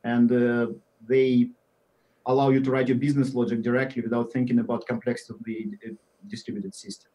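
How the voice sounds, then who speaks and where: Mellow Russian-accented man, halting. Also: mid-range voice, level tone, muffled recording. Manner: halting, many fillers and restarts, drifts quieter at phrase ends. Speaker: guest software engineer. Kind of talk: podcast interview answers.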